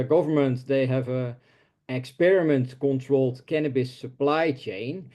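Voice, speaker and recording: A Dutch-native man presents his research at a web seminar, pausing often and filling gaps with "uh". His voice sits a little high but sounds warm and mellow, never sharp. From Netherlands. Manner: halting, many filler sounds. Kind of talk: webinar presentation.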